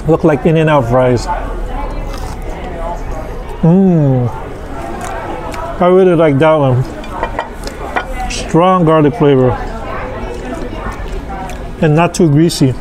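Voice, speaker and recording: A man in his thirties reviewing restaurant food in a casual, halting way, full of fillers, on an outdoor patio with a camera on the table. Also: a little high, crowd noise. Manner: slow, relaxed, halting with many fillers. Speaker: food vlogger. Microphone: camera on table across from him.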